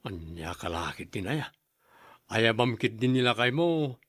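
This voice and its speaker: A man's voice: medium pitch, sing-song, a little slow, a bit bright, no echo, studio quality. A man with an Ilocano accent playing a stubborn, drunken husband who pleads and argues in an audio drama. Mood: arguing, defiant. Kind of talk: Ilocano radio-style drama acting.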